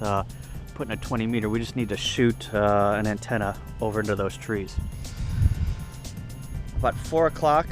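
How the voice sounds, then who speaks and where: A man with a mid-pitched voice talks through his outdoor setup in a casual, unscripted way. He explains as he goes, thinking aloud, picked up on a handheld camera mic with music behind him. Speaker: ham radio hobbyist giving a video tour. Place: outdoor campsite.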